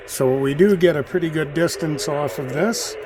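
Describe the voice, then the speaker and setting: A man giving casual, unhurried, musing commentary as he demonstrates radio listening as a hobbyist host. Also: a little high. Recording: clear radio static and noise. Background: shortwave radio hiss and chatter.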